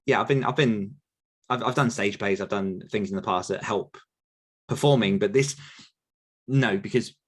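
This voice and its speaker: Guy on a podcast, lowish voice, wanders around his answers with lots of ums. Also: moderate pace, pauses often, breathy edge. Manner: rambling, self-correcting, frequent fillers. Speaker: podcast host answering listener questions. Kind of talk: casual Q&A chat.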